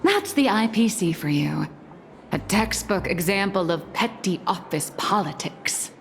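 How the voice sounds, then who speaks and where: A woman's voice: medium pitch, measured pace, natural voice. A woman as a wry comic artist, hall reverb. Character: dry, indignant young female comic artist. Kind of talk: video game voice acting. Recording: long hall reverb, music behind.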